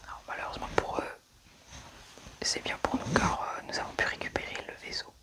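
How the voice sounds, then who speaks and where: This is an ASMR roleplay performer speaking entirely in whispers. The delivery is soft and unhurried, acting out a scripted-feeling scene with a hushed, breath-only voice.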